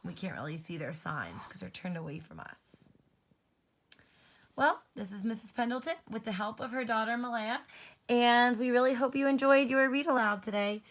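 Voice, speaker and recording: A woman's voice: a little high, normal speed. A woman teacher reading a children's storybook aloud, expressively, and explaining things in simple terms.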